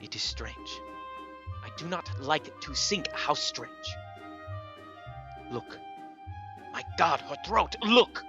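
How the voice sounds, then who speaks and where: An actor playing a grave, cautious old foreign professor in a radio horror drama, with halting, emphatic phrasing. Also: male voice, a little high, big pitch swings, highs cut off, heavily acted. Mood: grave, troubled, then horrified. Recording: loud music behind the voice.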